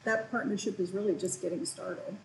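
A woman's voice: medium pitch, steady tone, a little fast, echoey room, rough recording. A woman, a historic site manager, answering interview questions in an informative, matter-of-fact way.

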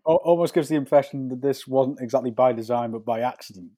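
A man with a mid-pitched voice hosts an interview podcast. He speaks close to the microphone in a dry space with no room sound. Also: fast talker, mellow, no echo. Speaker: podcast host. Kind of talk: scripted introduction and interview questions.

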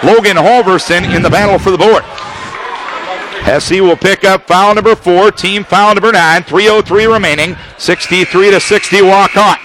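A man calling basketball play-by-play for radio, his voice high and bright as he tracks each pass, the score and the clock. Faint arena noise sits behind him. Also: normal speed. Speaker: play-by-play announcer.

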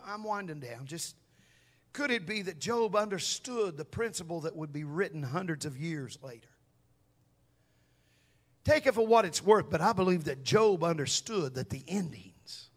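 A man preaching a sermon, emphatic and calling on the congregation to answer back. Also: on the high side. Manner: emphatic, calls on the congregation to respond.

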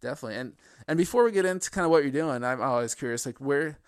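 A man's voice: medium pitch, expressive, rapid-fire, a crowd in the background. A man hosting a podcast interview, asking friendly questions with many fillers. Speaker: podcast host.

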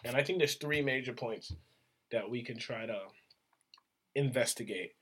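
A man's voice: medium pitch, moderate pace, fairly bright tone, breathy edge. A young man in an easygoing podcast chat, with a voice that sits in the middle range. He lays out his points one by one and wanders through hypothetical examples. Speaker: podcast co-host. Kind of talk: casual chat.

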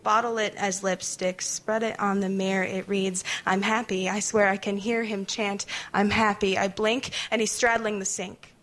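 A woman's voice: medium pitch, flat delivery, normal speed, hardly any pauses, fairly bright tone. A woman performing intense, dramatic spoken word poetry.

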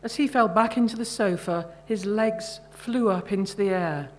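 A woman reading her own short story at a live literary event in a measured, deliberate way. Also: mid-range voice, darkish tone, a little hiss or hum.

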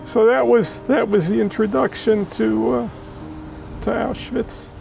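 American woman, interview guest, tearful and halting personal testimony, gravelly edge, faint music behind. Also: on the high side, steady tone, darkish tone. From born in Israel, raised in Los Angeles. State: tearful, emotional. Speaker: interview guest, child of Holocaust survivors.

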